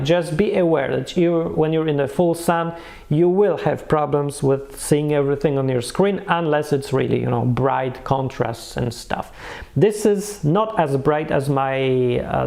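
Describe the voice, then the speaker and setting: A man's voice: a little high, measured pace, creaky, a little mellow. A man who is a non-native English speaker gives a casual, unscripted product review, searching for words and correcting himself as he goes. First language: not English.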